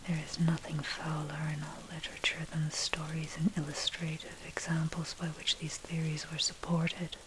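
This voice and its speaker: A woman whispers a scripted dark history essay in ASMR style, measured and deliberate. Her breathy, unvoiced delivery stays low and very even in pitch. A clear bed of rainy, thundery noise runs behind her. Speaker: narrator. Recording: noisy track with rain and thunder. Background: rain and thunder ambience.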